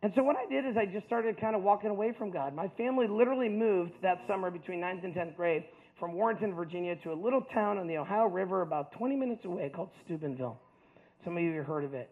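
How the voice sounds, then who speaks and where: A man's voice: high-pitched, lively intonation, quick pace, narrow, tinny audio. A man in his fifties from the United States giving a personal testimony to an audience, earnest and chatty, often checking in with "right?". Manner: earnest storytelling with frequent "like" and "right?".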